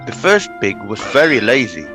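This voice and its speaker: A man's voice: mid-range voice, sing-song, normal speed. A man narrating a storybook tale from a script. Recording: music under the voice.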